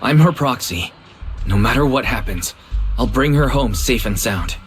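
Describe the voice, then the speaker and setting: A man's voice: medium pitch, animated, moderate pace, soft background music, natural voice. An actor playing a loyal, determined and protective male companion in a video game, steadfast in delivery.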